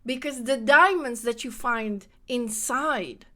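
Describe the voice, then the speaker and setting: Lady podcasting about spirituality, higher voice, lively and repeats herself a lot. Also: slow pace. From Netherlands. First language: Dutch. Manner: animated, repeats phrases for emphasis. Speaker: podcast host. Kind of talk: casual spiritual monologue.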